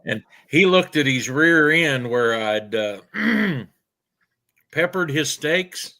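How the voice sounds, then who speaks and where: An older man, telling farm stories in a folksy, unhurried way with frequent pauses. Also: a little high, lively intonation, bright, forceful tone. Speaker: storyteller guest. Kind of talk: casual storytelling.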